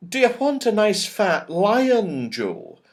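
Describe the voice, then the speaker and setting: Gentle fairy girl, bright and friendly, voiced by a man, slow storybook pace. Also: sits high, wildly swooping pitch, voice pushed up, full character voice. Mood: sweet.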